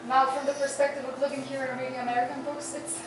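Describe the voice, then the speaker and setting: A woman's voice: high-pitched, little pitch movement, fast, background noise. A woman who grew up in Poland, speaking English with a Polish accent in a casual group chat, emphatic and drawing on her own experience. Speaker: group discussion participant. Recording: echoey room, distant mic, loud music behind.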